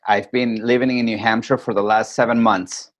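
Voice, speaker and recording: A man from Peru with a lowish voice, a guest on a podcast. He tells stories in a reflective, unhurried way while calling in from afar. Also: little pitch movement. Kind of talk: casual interview chat. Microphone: calling in remotely.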